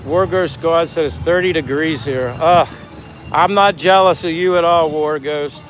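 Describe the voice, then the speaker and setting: A man's voice: on the high side, measured pace, a bit bright. A man chatting casually on a walking livestream, reading out viewer comments and thanking people in a friendly, effusive way. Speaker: livestream host. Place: outdoors on the move, near traffic. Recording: clear outdoor traffic and engine noise.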